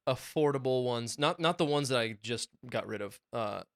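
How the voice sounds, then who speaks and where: A young man co-hosting a podcast, talking excitedly and swearing a lot as he rambles through stories about mixing music in his small home studio. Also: medium pitch, moderate pace, no room sound. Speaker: podcast co-host and music producer. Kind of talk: casual chat.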